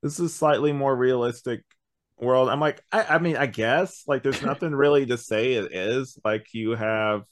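A man chatting casually as a podcast host, hedging and thinking out loud as he reviews. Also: medium pitch, moderate pace, a little hiss or hum.

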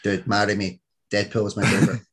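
A man in his thirties hosting a podcast, joking and bantering in casual chat. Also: on the low side. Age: 30s. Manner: joking, lively, quick to banter. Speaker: podcast host.

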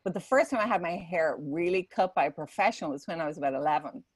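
A middle-aged Irish woman guesting on a podcast, spinning her stories in an animated, chatty flow full of fillers. Her voice sits a little low for a woman, and the recording is close and dry. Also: quick pace, husky, no room sound. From Ireland. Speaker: podcast guest, salon manager. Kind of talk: casual interview storytelling.

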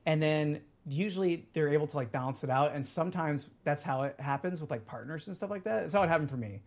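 A man's voice: fairly high pitch, fast talker. Rambling man in his thirties. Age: 30s. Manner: rambling, many fillers and restarts. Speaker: podcast guest. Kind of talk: casual chat.

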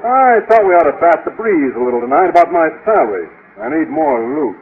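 A man's voice: fairly high pitch, big pitch swings, brisk. Earnest, awkward mild man, muffled. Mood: fake tough gangster. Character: mild, nervous young man clumsily acting tough. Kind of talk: 1950s radio sitcom acting. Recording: noisy muffled old radio recording.

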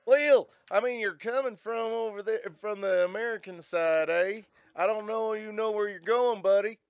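A man's voice: high voice, normal speed, clean tone, no room sound, close to an everyday voice. A man voicing a male Canadian border guard in a put-on Canadian accent, drawling "eh" and "buddy". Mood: folksy.

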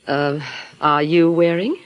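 An actress playing a poised young woman and would-be singer in 1940s radio style, giving crisp, guarded replies. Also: low-pitched, steady tone, slow. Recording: dull old radio recording.

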